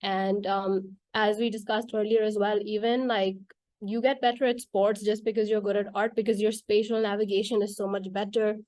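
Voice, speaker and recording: A woman's voice: mid-range voice, level tone, normal speed, darkish tone, no echo. A woman whose first language is Urdu, earnestly explaining why art matters for children, with frequent "like" and "right?" fillers. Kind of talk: casual explanatory talk.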